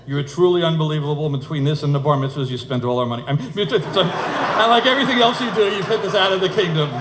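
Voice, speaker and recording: Echoey, high-pitched middle-aged man giving a speech. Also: quick pace. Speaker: father of the bride giving a speech. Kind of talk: humorous wedding speech told as a fairytale. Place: indoor wedding reception venue. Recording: echoey room, noisy event ambience.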